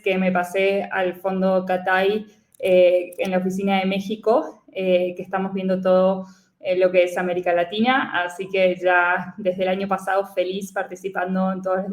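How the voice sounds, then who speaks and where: A woman from Argentina, speaking with an Argentine accent as a guest investor, relaxed and full of fillers. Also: mid-range voice, echoey room. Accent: Argentine Spanish. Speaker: guest, venture capital investor. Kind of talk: casual interview talk.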